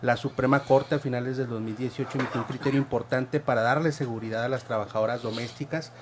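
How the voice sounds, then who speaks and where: A man's voice: medium pitch, fairly even intonation, fast, noisy background. Formal man listing points. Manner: formal, lists points in order. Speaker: guest panelist. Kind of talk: formal panel commentary.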